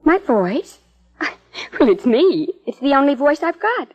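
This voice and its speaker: Eerie calm young double in old radio drama, high voice, dull echoey recording. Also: female voice. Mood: light, teasing. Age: young adult. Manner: calm, deliberate, coolly menacing. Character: cold, composed dream double of a young wife. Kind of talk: 1950s radio suspense acting.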